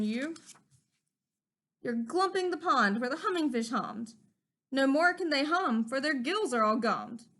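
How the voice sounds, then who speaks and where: A small, indignant forest creature scolds in a high, bright voice that rises and falls with feeling, as a woman reading a storybook aloud puts him on. He lectures and preaches, sure he is right.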